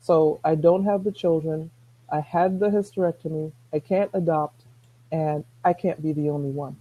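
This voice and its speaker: A middle-aged woman with a soft-spoken voice, talking earnestly as a guest on a video call, rambling with restarts. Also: sits low, steady tone, moderate pace, narrow, tinny audio. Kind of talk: casual chat.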